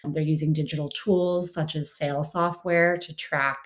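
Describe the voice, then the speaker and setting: A woman's voice: low-pitched, fairly even intonation, normal speed. A woman speaking as an interviewed expert, explaining conversationally with frequent "you know" and "kind of". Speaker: interviewed researcher and writer.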